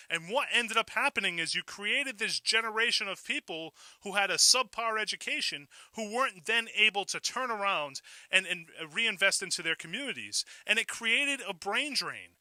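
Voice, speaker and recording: A man's voice: high voice, pushed and bright, no room sound, low-quality recording. An American man hosting a radio call-in show, giving an opinionated monologue with emphatic delivery and rhetorical questions. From Fall River, Massachusetts, United States.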